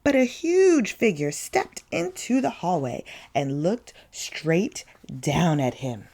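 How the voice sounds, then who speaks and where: A woman narrates a children's picture book as a story time reader. Her pitch moves in lively swings, and she takes her time, leaning dramatically on key words. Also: slow pace. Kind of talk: expressive children's picture-book read-aloud.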